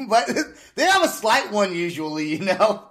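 Man, fairly high voice, chill podcast host, laughs a lot, says dude. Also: big pitch swings, a little slow, a bit bright. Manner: laid-back, laughs often, says dude. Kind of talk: casual chat.

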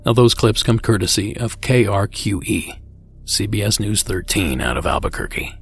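A man hosting a podcast, reading his script in a low-key, measured way. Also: animated, husky, faint music behind. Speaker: podcast host.

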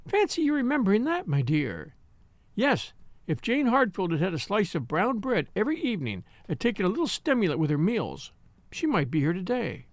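A man's voice: sits high, wildly swooping pitch, fairly quick, no echo, voice pushed up, heavily acted. A man doing a smug, blunt old spinster. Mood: triumphant gossip. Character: sharp-tongued elderly spinster, triumphant and blunt.

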